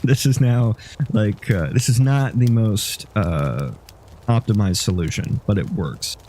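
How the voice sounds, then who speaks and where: A man's voice: lowish voice, a little slow, warm tone, slight background noise. A sniffly man, a game developer, talking casually through his code and rambling as he thinks aloud. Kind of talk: casual dev log.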